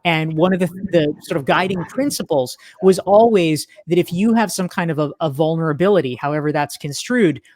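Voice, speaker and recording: A woman hosting a livestream interview, talking fluently and casually, quick to laugh. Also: low voice, a little mellow, no echo. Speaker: host.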